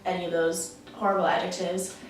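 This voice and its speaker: A woman's voice: fairly low pitch, little pitch movement, fairly quick, slight background noise. A teenage woman from Massachusetts and New Hampshire answering interview questions casually, saying "like" a lot. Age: teens. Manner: casual, lots of "like". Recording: echoey room from far off, music behind.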